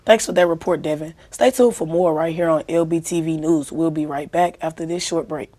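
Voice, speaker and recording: A woman's voice: low-pitched, a little fast, warm tone. A woman anchor presenting scripted student television news.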